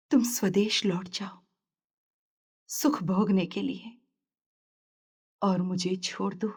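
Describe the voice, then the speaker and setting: An actress playing a dignified, sorrowful heroine torn in love, solemn and emotive, in an audio story. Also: female voice, medium pitch, dark tone, breathy edge. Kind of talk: Hindi literary audio story drama.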